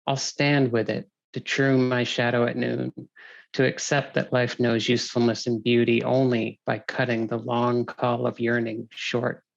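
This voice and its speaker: Dull recording, male poet, creaky voice, flat intonation, poetry reading. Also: medium pitch, measured pace. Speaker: poet reading own work. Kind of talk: poetry reading with brief thanks. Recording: dull, muffled audio.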